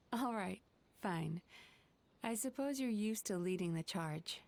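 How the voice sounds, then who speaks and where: Game role: calm, caring young female healer, mid-pitched, soft and measured, protective. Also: a little hiss or hum. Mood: gently amused. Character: gentle, composed, protective young woman healer. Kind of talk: English video game voice acting.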